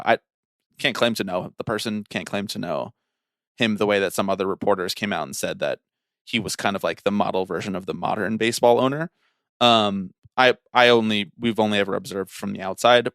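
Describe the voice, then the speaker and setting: A man's voice: lowish voice, a bit bright, dry recording, studio quality. A man in his twenties co-hosting a casual baseball podcast, laying out analysis in long, reasoned runs with repeated phrasing. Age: 20s. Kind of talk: casual sports talk, analysis.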